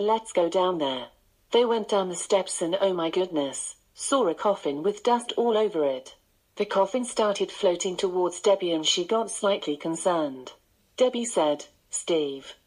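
A woman's voice: medium pitch, a little mellow, poor audio. A synthetic woman's voice of a voice assistant, narrating bedtime stories.

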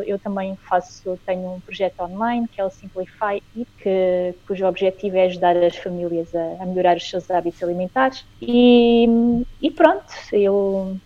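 A woman's voice: mid-range voice, fairly even intonation, moderate pace, no room sound, phone-quality sound. A woman from Angola, a podcast guest, telling a travel story hesitantly, often repeating words and giggling.

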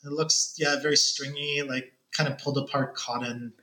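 A man's voice: medium pitch, level tone, normal speed, sharp tone. A man with a Canadian accent telling stories casually as a podcast guest. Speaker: podcast guest, ed tech consultant. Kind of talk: casual conversational storytelling. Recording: roomy, echoing sound.

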